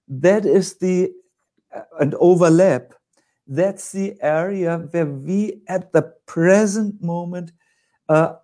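Slow-talking man with German accent. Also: high voice, dark tone. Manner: hesitant fillers, chuckling, vivid examples. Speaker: spiritual teacher. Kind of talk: answering in a spiritual discussion.

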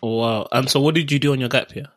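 A young man hosts a casual podcast interview in a mid-pitched voice. The sound is dry, with very little room around his conversational questions and comments. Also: fast talker, no echo. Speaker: podcast host.

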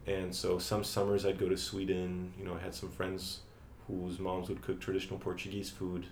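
Deep creaky-voiced young man, echoey room. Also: moderate pace. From France. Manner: relaxed, with frequent ums and uhs. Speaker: interview guest, teacher. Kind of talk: casual interview answers. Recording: echoey room with faint ambient noise.

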